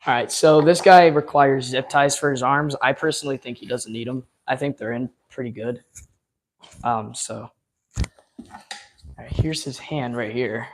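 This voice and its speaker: A man chatting loosely through a live unboxing, his voice going hoarse from hours of talk. He rambles along with plenty of ums and uhs in a mid-pitched, everyday tone. His enthusiasm carries through the fillers. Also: steady tone, moderate pace. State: losing his voice. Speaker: live-stream host. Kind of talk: casual live unboxing chat.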